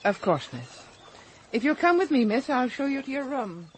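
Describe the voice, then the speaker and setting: An actress playing a deferential, matter-of-fact castle housekeeper in a British radio drama, formal and brisk, with a British accent. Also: female voice, sits high, big pitch swings, slight background noise. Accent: British English.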